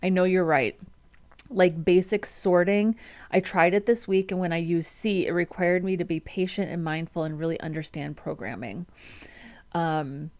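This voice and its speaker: A woman's voice: lowish voice, fairly even intonation, normal speed, a little mellow. A woman in her thirties with a Midwestern American accent, chatting casually on a livestream and reading viewer comments, with many ums and restarts. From United States. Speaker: web developer streamer.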